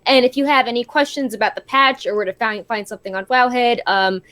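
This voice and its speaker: A woman co-hosting a casual gaming podcast, a little hoarse from being sick, relaxed, with frequent fillers. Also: on the high side, fairly quick. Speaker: co-host. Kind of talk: casual video game podcast chat.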